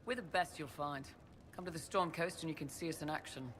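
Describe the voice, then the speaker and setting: A confident young soldier for hire played by a man, quick and forthright, with a bright, forceful voice pitched a little high. It is fantasy game dialogue with faint noise behind it. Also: close to an everyday voice. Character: eager young male mercenary soldier, confident and proud. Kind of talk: dramatic video game acting.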